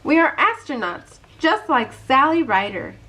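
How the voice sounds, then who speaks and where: A woman's voice: high-pitched, very animated, measured pace, bright, forceful tone, full character voice, rough recording. A woman voicing an earnest, fretful and eager little cartoon girl in a storybook, with a childlike, wide-eyed manner.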